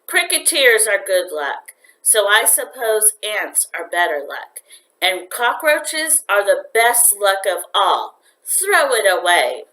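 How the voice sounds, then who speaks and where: A woman's voice: mid-range voice, big pitch swings, takes it slowly, bright, forceful tone, full character voice, rough recording. A woman reading a storybook, voicing a stern, dismissive mother with a dry, scolding manner. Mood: dry scorn.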